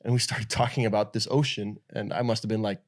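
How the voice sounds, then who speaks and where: A young man from Denmark talks casually as an interview guest. His voice sits a little low, and he tells his story in a loose, relaxed flow full of "like" and "uh". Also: fast talker. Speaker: guest, pro paddle surfer.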